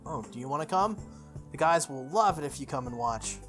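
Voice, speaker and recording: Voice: medium pitch, animated, fairly quick, a bit bright, close to an everyday voice. Someone voicing a breezy, blunt tomboy schoolgirl. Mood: frank, eager. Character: frank, tomboyish teenage schoolgirl, archery club member. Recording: music under the voice.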